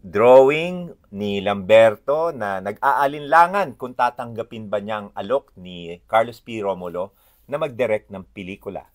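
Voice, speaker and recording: A man with a Filipino accent presents a picture book in an informal read-aloud style. His voice sits on the low side. He moves through his commentary haltingly, often pausing to find the next word. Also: lively intonation.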